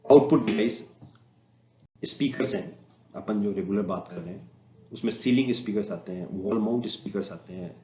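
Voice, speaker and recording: A man's voice: lowish voice, normal speed, warm tone. A man with an Indian accent giving an informal slide lecture as an instructor, mixing Hindi with English technical terms. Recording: echoey room, muffled lo-fi, faint noise.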